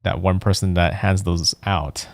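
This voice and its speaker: A man's voice: low voice, steady tone, measured pace, slight background noise. A man streaming a let's play, musing to himself in casual commentary. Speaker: game streamer.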